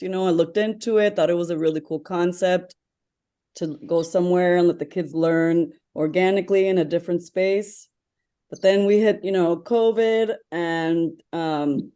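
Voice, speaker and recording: A woman introducing herself on a video call, chatty and relaxed with frequent ums. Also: lowish voice. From lives in Orlando, Florida. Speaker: guest, entrepreneur mother. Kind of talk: casual video-call chat.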